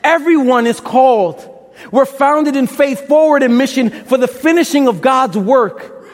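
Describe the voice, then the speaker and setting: High-voiced young Brooklyn preacher in a hall. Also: male voice, measured pace. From Brooklyn, New York. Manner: impassioned, rhetorical questions, casual slang. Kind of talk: sermon. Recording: long reverb in a large hall.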